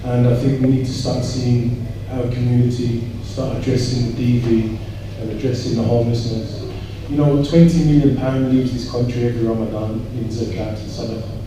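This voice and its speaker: A man speaking earnestly and reflectively on a panel, pausing and repeating words as he makes his points. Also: medium pitch, little pitch movement. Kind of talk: panel discussion remarks. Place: large echoey indoor event room. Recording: very echoey room, distant mic, noisy.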